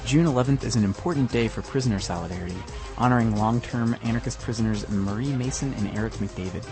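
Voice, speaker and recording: A man's voice: mid-range voice, fast talker, over music. A man co-hosting a podcast, reading scripted news. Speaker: podcast co-host.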